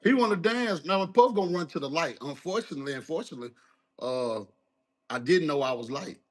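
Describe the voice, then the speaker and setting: A rapper, talking in a casual interview, halting with many fillers and restarts. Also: male voice, expressive. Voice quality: deep voice. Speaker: guest, rapper.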